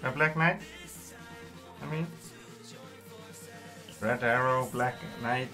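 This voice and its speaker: A man's voice: medium pitch, slow, over music. Man hosting a gaming stream. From Iceland. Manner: thanks viewers, reads chat aloud, simple non-native phrasing. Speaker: livestream host. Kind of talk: casual gaming livestream chat.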